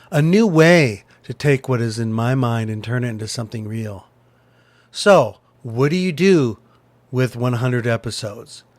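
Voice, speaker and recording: A man hosting a podcast, giving a personal reflection to listeners in deliberate, short, repeated phrases. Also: mid-range voice, no room sound. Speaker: podcast host and pastor.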